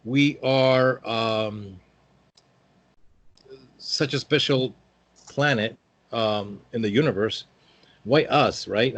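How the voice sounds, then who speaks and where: A man's voice: medium pitch, muffled recording. A man in his fifties from Peru, speaking as a guest in a casual interview and rambling with many hesitant fillers. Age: 50s.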